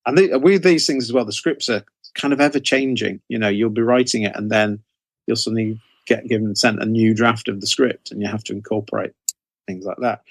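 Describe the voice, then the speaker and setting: A man's voice: fairly low pitch, fairly even intonation, brisk, husky, no echo. A middle-aged man with a British accent, telling anecdotes as an interview guest in a rambling, self-interrupting way.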